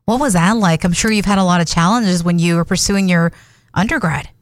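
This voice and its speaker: A woman's voice: fairly low pitch, a little fast, dry recording, studio quality. A woman hosting a radio interview show, introducing her guest and asking questions.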